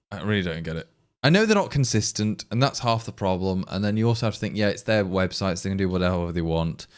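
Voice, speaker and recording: Man, English East Midlands accent, casual stream chatter, rambling, restarts a lot, lowish voice, very animated. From England. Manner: rambling and exasperated, many restarts. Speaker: livestream programmer. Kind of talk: casual chat to stream viewers.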